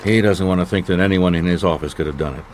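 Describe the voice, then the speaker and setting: An actor playing a wry, persistent private detective in a radio mystery, with a dry, conversational, probing way of talking. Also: male voice, low-pitched, fast, noisy background, close to an everyday voice. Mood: wry, thoughtful. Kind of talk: radio mystery drama, dialogue and narration.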